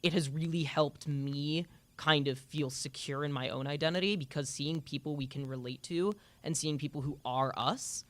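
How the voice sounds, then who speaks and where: A man's voice: mid-range voice, a bit bright. A teenage boy speaking on a student panel, earnest and reflective, with hesitant fillers. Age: teens. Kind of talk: panel discussion answer. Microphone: handheld microphone.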